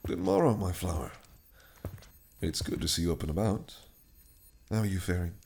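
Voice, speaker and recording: A man's voice: deep voice, very animated, normal speed, vocal fry, a little mellow, slight background noise, exaggerated voice. A man voicing a possessive, cunning fae prince in an audio roleplay, speaking in a silky, teasing, menacing way. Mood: gentle. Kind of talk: listener-directed audio roleplay.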